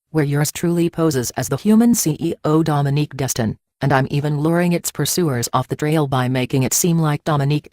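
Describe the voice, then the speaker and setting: A woman's voice: sits low, mellow, dry recording, studio quality, natural voice. A woman voicing a boastful female gargoyle warrior in a cartoon-style video, with a brash, teasing delivery.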